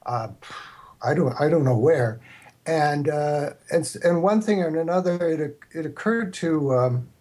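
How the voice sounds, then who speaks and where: An older man from the United States, an interview guest, reminiscing casually in a halting, meandering way. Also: a little high, warm tone. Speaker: interview guest, radio producer.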